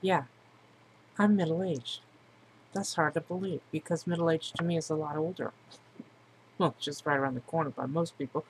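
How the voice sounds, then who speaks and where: A woman's voice: low voice, fairly even intonation, moderate pace, long pauses. Woman, forties, candid and reflective vlogger speaking casually, noisy amateur recording. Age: 40s. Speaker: vlogger introducing themself. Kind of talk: personal monologue to camera, casual.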